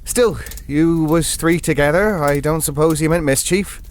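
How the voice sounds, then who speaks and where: A man's voice: fairly high pitch, measured pace, slight background noise, natural voice. A man voicing a deferential, watchful hotel servant in an audio drama, polite and chatty. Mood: inquisitive. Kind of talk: quoted character in audio drama.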